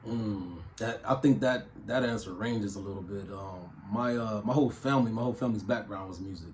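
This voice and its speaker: A man's voice: lowish voice, fairly quick, darkish tone, slight background noise. A man in his thirties from Ohio, a music producer answering interview questions in a loose, casual way with plenty of fillers and slang. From Toledo, Ohio. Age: 30s.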